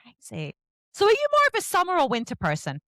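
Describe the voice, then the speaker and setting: A middle-aged woman hosts a chatty interview. Her voice sits fairly high and swings up and down a great deal as she tells animated, self-mocking stories. The sound is dry and close. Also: fast talker, no echo. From England. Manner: animated, self-deprecating storytelling. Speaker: podcast host interviewing an author.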